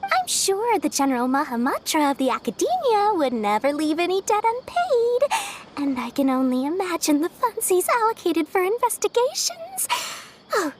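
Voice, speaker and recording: Sly, high-voiced merchant girl. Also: big pitch swings, normal speed, a little hiss or hum. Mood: coy, scheming. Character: sly, playful female merchant, fond of profit. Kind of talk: video game voice acting.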